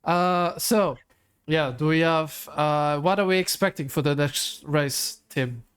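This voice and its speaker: A man co-hosting an online racing league show, asking drivers post-race questions in a friendly, halting way over a voice call. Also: fairly high pitch, steady tone, a bit bright, clean tone. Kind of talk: joking post-race interview.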